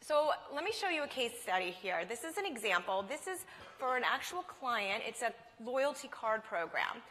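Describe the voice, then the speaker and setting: A fairly high-voiced woman from Vermont presents at a conference, chatty and anecdotal, leaning on personal stories. Her voice carries a long reverb from the hall around her. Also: big pitch swings, moderate pace, sharp tone. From Vermont, USA. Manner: conversational, anecdotal, upbeat. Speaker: conference speaker.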